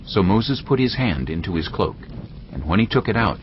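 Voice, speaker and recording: A man narrating scripture in a dramatized audio production, telling it in a measured storytelling way. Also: low-pitched, sing-song, noisy background. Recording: muffled, over loud cinematic music.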